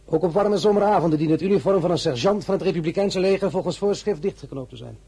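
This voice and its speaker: A man playing a proud, sharp-tongued young general in a radio drama, brisk and self-assured. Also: high-pitched, breathy edge.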